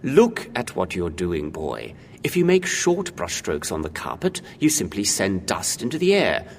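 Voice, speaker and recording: An actor playing a stern, loyal old senior cinema usher, speaking formally and emphatically in an audio drama. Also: male voice, low-pitched, wildly swooping pitch, unhurried, heavily acted. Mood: scolding. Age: older. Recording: reverberant hall, faint background noise.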